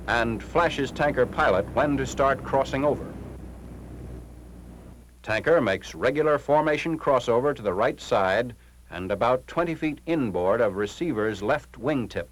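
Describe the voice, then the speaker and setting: A man's voice: mid-range voice, lively intonation, a little slow. An American man narrating a 1940s military training film in a formal, clipped, instructional manner. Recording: noisy 1940s film soundtrack, engine-like hum.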